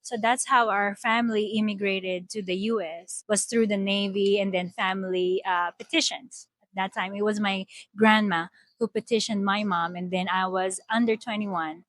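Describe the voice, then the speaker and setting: A woman's voice: mid-range voice, steady tone, normal speed, a bit bright, no room sound. A woman from the Philippines, matter-of-factly recounting how her family immigrated. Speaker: interviewee. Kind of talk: personal story interview.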